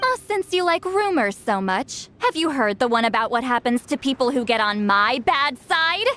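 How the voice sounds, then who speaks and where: An actress playing a proud, sharp-tongued shaman in a video game, imperious and menacing in manner. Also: high voice, sing-song, normal speed, exaggerated voice. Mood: cool menace. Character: proud, sharp-tongued, long-lived shaman woman with youthful voice.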